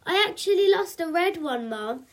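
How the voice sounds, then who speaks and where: A woman voicing an eager, adoring ten-year-old boy in a storybook, excited and earnest. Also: high-pitched, fairly quick, voice pushed up. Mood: complaining.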